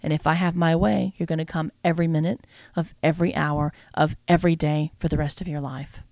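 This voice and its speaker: Woman voicing a cocky young man from an audiobook, low and gravelly, bold retorts; dull dry read. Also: fairly even intonation, brisk, dry recording.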